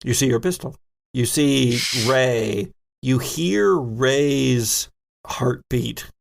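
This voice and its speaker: Gravelly man narrating tabletop horror. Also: mid-range voice, extremely slow. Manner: descriptive, suspenseful storytelling. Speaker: game master and host. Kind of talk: dramatic improvised narration of a tabletop horror game.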